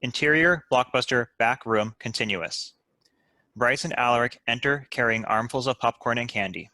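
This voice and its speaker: A man's voice: mid-range voice, normal speed, sharp tone, dry recording. A man reading stage directions as scripted narration for a table read.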